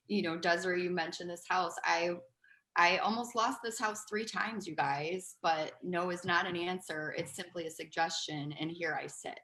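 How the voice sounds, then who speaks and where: A steady, reflective woman speaks from her home on a video call. Her voice is lowish, and the room around her sounds echoey. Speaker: panelist. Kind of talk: casual panel chat.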